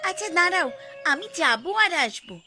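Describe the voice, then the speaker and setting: A woman's voice: very high-pitched, big pitch swings, brisk, sharp tone, faint music behind, heavily acted. A woman playing a caring, chatty village housewife looking after her family in a cartoon. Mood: caring, brisk. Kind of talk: Bengali cartoon dialogue.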